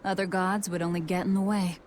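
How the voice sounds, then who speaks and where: A woman's voice: fairly low pitch, little pitch movement, brisk, slight background noise, close to an everyday voice. An actress playing a blunt young woman adventurer in a video game, with a dry, sarcastic delivery. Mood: skeptical. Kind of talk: video game cutscene acting.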